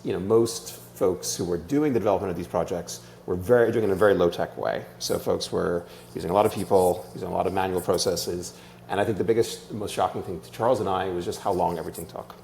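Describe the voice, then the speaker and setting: A man's voice: low voice, vocal fry, a little mellow, slight background noise. An Irish-accented man explaining things casually as a startup founder being interviewed. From Ireland.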